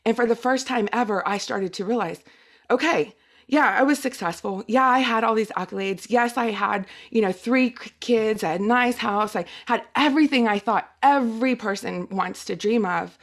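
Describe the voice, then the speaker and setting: A woman's voice: fairly high pitch, normal speed. Middle-aged woman, earnest personal testimonial. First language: Spanish. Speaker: guest speaker.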